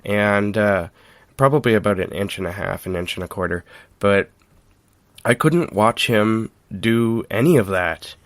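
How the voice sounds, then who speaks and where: A man hosting a podcast in casual chat, telling things in a halting, informal way with frequent chuckles. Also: fairly low pitch, no echo. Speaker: podcast host.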